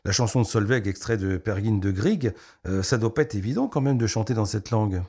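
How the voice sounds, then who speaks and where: Man, radio host, husky, low-pitched, conversational with fillers. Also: brisk, dark tone. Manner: conversational, with frequent fillers and affirming murmurs. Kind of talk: radio interview.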